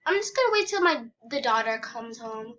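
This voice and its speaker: A woman's voice: a little high, sing-song, a little fast, sharp tone. A young girl in casual game roleplay, bossily directing the pretend play over a dull, muffled home mic. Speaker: player voicing a roleplay video.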